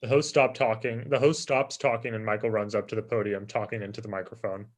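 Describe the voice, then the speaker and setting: A man's voice: on the low side, fairly even intonation, brisk. A man reading stage directions as scripted narration.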